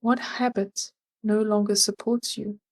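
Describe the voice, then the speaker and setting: Woman, meditation guide, unhurried slow delivery, mid-range pitch, gentle, soothing, measured. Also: flat delivery.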